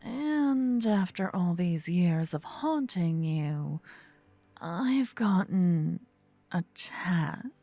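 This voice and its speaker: Woman voicing a slow, low, seductive demon queen. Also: expressive, dark tone, no room sound, soft background music, full character voice. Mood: coaxing, possessive. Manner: breathy, slow, teasing, regal. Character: seductive, possessive demon queen spirit, smug and coaxing. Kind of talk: fantasy ASMR roleplay acting.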